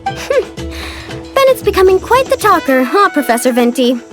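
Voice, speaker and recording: An upbeat teenage girl guide in a video game. Her voice is very high and swings expressively with bubbly, breezy energy. Music plays clearly behind her. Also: very animated, unhurried, heavily acted. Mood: encouraging. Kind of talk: video game character acting.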